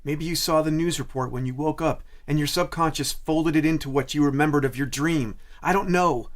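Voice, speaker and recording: A man's voice: a little high, fairly quick, natural voice. A man playing a skeptical young boyfriend who reasons things away in an audio drama. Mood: dismissive, exasperated.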